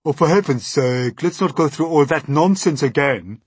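A man's voice: a little high, lively intonation, normal speed, slightly rough, no room sound, voice pushed up. A man voicing a gloomy, self-pitying earthworm who grumbles and complains in a storybook reading. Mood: exasperated.

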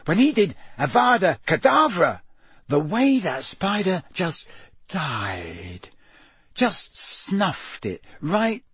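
A man voicing an excitable, chatty teenage schoolboy who blurts eagerly. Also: sits high, slow pace, slightly rough, no echo, pitched above the performer's own voice, full character voice. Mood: excited.

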